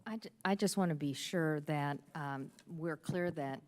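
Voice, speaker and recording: A woman with a very low voice speaks at a city council meeting. She is earnest and repeats words as she makes her point. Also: a little slow, a little mellow, slight background noise.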